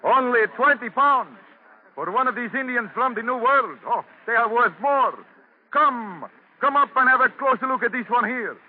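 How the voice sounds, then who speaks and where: An actor playing a boastful slave auctioneer declaiming a sales pitch, in 1940s radio style. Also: male voice, moderate pace. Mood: hard-selling. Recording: tinny, hissy 1940s radio recording.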